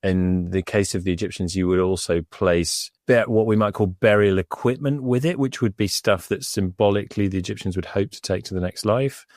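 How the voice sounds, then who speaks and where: Male expert co-host, very low voice, dry close sound, thoughtful with ums. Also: brisk, hardly any pauses, darkish tone, no echo. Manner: thoughtful, hesitant with fillers and restarts. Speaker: Egyptologist co-host. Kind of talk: casual explanatory podcast chat. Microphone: remote over the internet.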